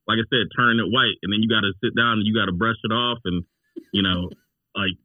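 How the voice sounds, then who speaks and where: A man's voice: on the low side, fairly even intonation, fairly quick, dry recording, phone-quality sound. A man chatting casually on a sneaker podcast, often repeating and rephrasing as he goes.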